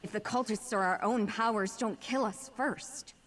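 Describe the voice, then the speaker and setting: A woman's voice: fairly high pitch, normal speed, fairly bright tone, a little hiss or hum, natural voice. A woman playing a serious, worried young twin sister with fiery powers in a video game, given to scolding. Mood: wary, doubtful. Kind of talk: video game character acting.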